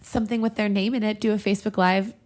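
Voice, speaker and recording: A woman's voice: medium pitch, little pitch movement, fast talker, a little mellow. A woman co-hosting a podcast in casual comedic chat, talking in a chatty, giggly way. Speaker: podcast co-host.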